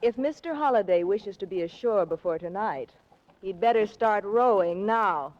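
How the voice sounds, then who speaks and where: An actress as a cool femme fatale in a 1940s radio mystery. She speaks in a mid-pitched voice, composed and imperious with a sly mocking edge. The old recording is muffled. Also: expressive, normal speed, darkish tone, faint music behind, close to an everyday voice. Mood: cold, commanding. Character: cool, commanding, slyly mocking young woman schemer. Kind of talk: 1940s radio drama acting.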